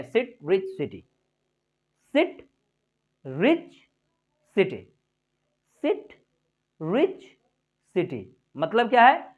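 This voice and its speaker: A man with an Indian accent teaching an English lesson, encouraging and repetitive, stretching out example sounds to show pitch. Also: narrow, tinny audio. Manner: encouraging, repeats himself and keeps addressing viewers as friends.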